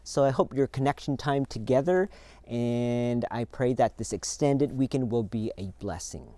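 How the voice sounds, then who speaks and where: Warm earnest man addressing his church. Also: medium pitch, moderate pace, dry recording. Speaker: church video host. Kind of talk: addressing a congregation by video.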